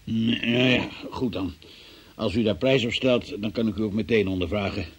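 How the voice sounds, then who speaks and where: An actor playing a formal, businesslike examining magistrate in a Dutch-language radio play. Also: male voice, lowish voice, normal speed, husky. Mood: official, matter-of-fact. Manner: formal, official, procedural phrasing. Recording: dull, muffled old radio recording.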